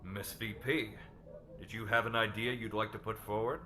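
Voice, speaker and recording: Man acting a low, formal board chairman. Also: fairly even intonation, normal speed, background noise, lightly acted. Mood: businesslike. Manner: formal, businesslike. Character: formal male board chairman, measured and businesslike. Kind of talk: audio drama boardroom dialogue.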